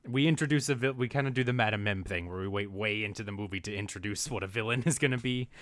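A man's voice: fairly low pitch, quick pace, fairly bright tone, no echo. A young man co-hosting a casual movie recap podcast, rambling with many fillers and chuckling as he reads out his notes. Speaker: podcast co-host.

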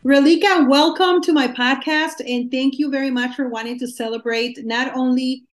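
A woman hosts her own podcast, opening with upbeat introductions before handing over to her guest. Her voice sits a little above average in pitch. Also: fairly even intonation, moderate pace, soft background music. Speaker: podcast host. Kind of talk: podcast interview.

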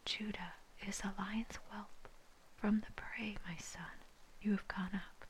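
A woman reading scripture in a soft, breathy, unvoiced whisper, ASMR style, with measured phrasing. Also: medium pitch, fairly even intonation, a little hiss or hum, low-quality recording. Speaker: scripture reader.

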